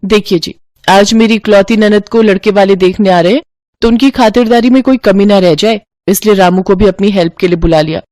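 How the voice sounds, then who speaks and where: A woman acts out a young daughter-in-law in a family storybook tale. She is sweetly bossy and dramatic, with resentment under the sugar. The sound is dry and mid-pitched. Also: brisk, darkish tone, no room sound, natural voice. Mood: sweetly eager.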